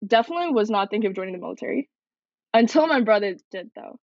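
A woman's voice: mid-range voice, animated, fast, no echo. Young woman, from Canada, military cadet guest, earnest, reflective, dull dry recording. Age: young adult. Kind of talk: casual interview answers.

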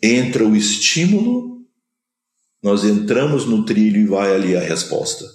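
A man from Minas Gerais, Brazil, speaking with a Minas Gerais accent, gives a slow, measured spiritual lecture, pausing between short phrases. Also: fairly low pitch, lively intonation, darkish tone, echoey room. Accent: Brazilian Portuguese, Minas Gerais. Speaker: spiritual teacher. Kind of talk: spoken lecture on scripture.

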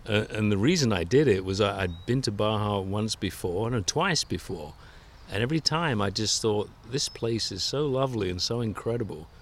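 A man's voice: lowish voice, lively intonation, normal speed, a little hiss or hum. An older man with an English accent, a guest author telling stories of his travels with self-deprecating chuckles. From England. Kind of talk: relaxed storytelling interview.

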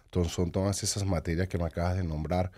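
A man hosting an interview, asking questions in a halting way and often restarting his sentences. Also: deep voice, fairly even intonation, dark tone, no echo, clean studio sound. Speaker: podcast host.

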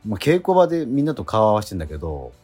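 A middle-aged man hosting a radio show, chatting in a relaxed, rambling, self-deprecating way. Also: fairly low pitch, rapid-fire, breathy edge, soft background music. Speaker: radio host. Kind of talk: casual radio chat.

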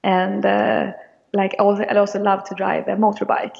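Young woman raised in Sweden, interview guest; gravelly, hesitant delivery, dull recording with long reverb. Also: mid-range voice, completely flat delivery, moderate pace, a little mellow. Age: young adult. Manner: hesitant, many fillers and restarts. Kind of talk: casual interview answers.

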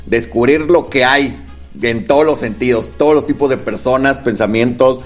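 Relaxed young man, Guadalajara Mexican accent. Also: mid-range voice, normal speed, soft background music. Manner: relaxed, slangy storytelling. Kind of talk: casual podcast chat.

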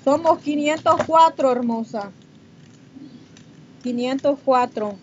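A woman's voice: sits high, slow pace, a little mellow. A woman with a Honduran Spanish accent chatting casually with viewers on a livestream. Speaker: livestreamer chatting with viewers while doing makeup. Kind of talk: casual chat reading and answering comments. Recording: muffled, noisy livestream audio.

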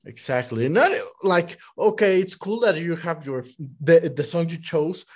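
A man from Mexico City in casual podcast chat, excitable and quick to agree. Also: fairly high pitch.

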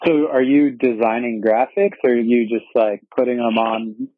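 A man's voice: medium pitch, steady tone, moderate pace, no room sound. A man hosting a casual interview, asking questions in a laid-back, slangy way. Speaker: interview host. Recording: dry, phone-like remote call audio.

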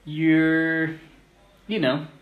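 A man in his thirties from Israel, speaking as a podcast guest in short, halting, thoughtful phrases. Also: on the high side, completely flat delivery, phone-quality sound. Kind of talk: conversational interview. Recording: roomy echo.

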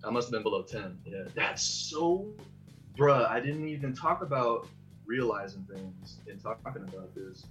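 An American man chatting casually on a podcast, telling personal stories in an animated, rambling, slangy way and swearing freely. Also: on the low side, moderate pace, highs cut off, soft background music. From Los Angeles area, United States. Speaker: podcast host.

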